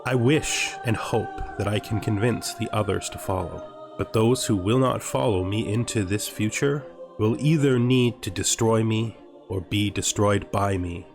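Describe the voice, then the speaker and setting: A man playing a proud, zealous vampire commander in a measured, grandiose game roleplay monologue. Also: fairly low pitch, creaky. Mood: defiant resolve. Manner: solemn, grandiose, measured oratory. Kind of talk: dramatic in-character roleplay monologue. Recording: music behind the voice.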